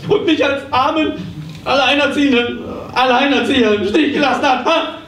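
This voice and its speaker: A man plays a frantic, muddled psychotherapist in a live comedy radio play. The voice is high and jumps all over as he rants and stammers. It is picked up from far off with clear noise. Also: fairly even intonation, moderate pace, fairly bright tone. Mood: exasperated ranting. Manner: ranting, stammering, exclamatory. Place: small dark theatre stage, live. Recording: echoey, noisy stage recording from far off.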